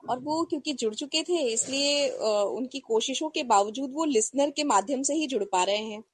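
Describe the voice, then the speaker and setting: Female co-host, husky voice, conversational delivery, dry close sound. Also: high-pitched, moderate pace, no room sound. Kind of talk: casual live online conversation.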